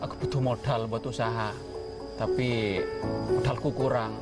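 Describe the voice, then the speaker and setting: A man plays a moody young man in a dramatized TV reenactment. He is curt and guarded, in a middling pitch. Loud music runs behind the lines. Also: little pitch movement, moderate pace, lightly acted. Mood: downcast, guarded. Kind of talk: dramatized crime reenactment acting.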